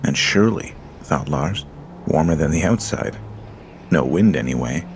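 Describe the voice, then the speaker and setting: A man narrating a horror story in a slow, measured, hushed storytelling manner. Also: a bass voice, lively intonation, noisy background. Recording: music bed under voice.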